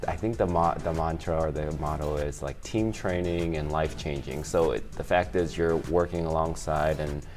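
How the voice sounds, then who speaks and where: Deep-voiced man, halting speech. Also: steady tone, darkish tone, soft background music. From Chicago, USA. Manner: halting, many restarts and fillers. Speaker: guest, finance executive. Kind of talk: casual interview conversation.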